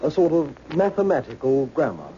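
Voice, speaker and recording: An actor playing an earnest, precise codebreaker in a 1960s radio drama. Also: male voice, fairly high pitch, big pitch swings, normal speed, mellow. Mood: intent explanation. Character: earnest male codebreaker explaining alien signals. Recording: dull, noisy old radio recording.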